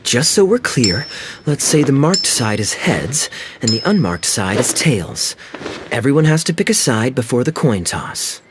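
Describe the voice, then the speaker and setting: Young nobleman, game cutscene, charming, mischievous, teasing, mid pitch, expressive. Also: measured pace, noisy background. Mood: playful. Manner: teasing, sly, playfully commanding. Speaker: wealthy visitor at a tea house.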